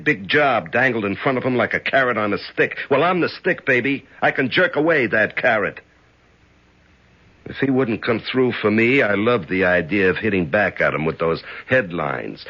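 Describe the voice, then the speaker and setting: An actor playing a ruthless, menacing American gangster who taunts in slang and Italian endearments, in a 1970s radio drama. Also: male voice, medium pitch, bright, forceful tone, husky, full character voice. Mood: scornful, worked up. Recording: dull 1970s radio recording.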